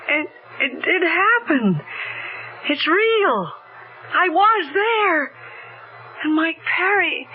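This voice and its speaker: An actress playing a frightened, bewildered woman in an old-time radio drama, speaking in a halting, anxious way. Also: sits high, narrow, tinny audio, full character voice.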